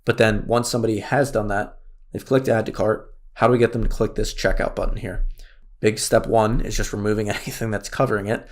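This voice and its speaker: Man, raspy worn voice, low-pitched, flat delivery, casual tutorial. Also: brisk. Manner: casual, thinks aloud with fillers. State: raspy, losing his voice. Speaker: course instructor. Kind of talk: screen-share tutorial walkthrough.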